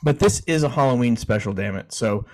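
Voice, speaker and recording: A man co-hosting a podcast in a relaxed, chatty way, reading definitions aloud and chuckling now and then. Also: mid-range voice, a little fast. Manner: easygoing, chuckling, frequent restarts. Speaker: podcast co-host.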